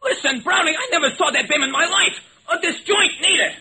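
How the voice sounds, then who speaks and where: A man's voice: very high voice, brisk, pushed and bright, heavily acted. An actor playing a brash, jittery 1920s gangster with slangy tough-guy talk in an old-time radio drama. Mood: stubborn, agitated. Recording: noisy old radio recording.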